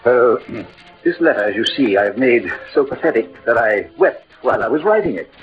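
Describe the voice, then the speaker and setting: An actor playing an eloquent, lovelorn poet-soldier with ardent, lyrical delivery in old radio drama style. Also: male voice, mid-range voice, sing-song, moderate pace, over music, close to an everyday voice. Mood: wistful. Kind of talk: 1940s-50s radio drama acting. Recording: dull old radio recording.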